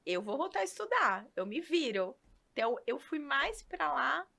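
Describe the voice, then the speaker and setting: A woman, telling her career story as a podcast guest in lively casual chat with quick laughs. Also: high voice, creaky, background noise. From Rio Claro, São Paulo state, Brazil. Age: 40s.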